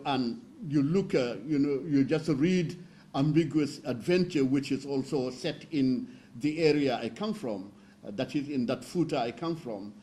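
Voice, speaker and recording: A man from northern Senegal speaking as a panelist at a roundtable, explaining slowly and carefully with fillers and restarts. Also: mid-range voice, warm tone. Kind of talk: roundtable talk.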